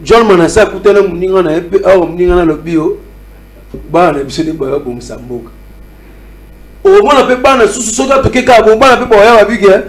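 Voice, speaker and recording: A man's voice: sits high, quick pace, rough recording. A Congolese man with a Congolese accent, talking animatedly in a debate and teasing as he mixes French with Lingala. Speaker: debate participant. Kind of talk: lively debate talk.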